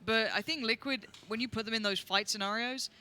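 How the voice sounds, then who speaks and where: A man casting a live esports match on a headset, getting excited and shouting when fights break out. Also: high-pitched, brisk. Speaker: esports caster.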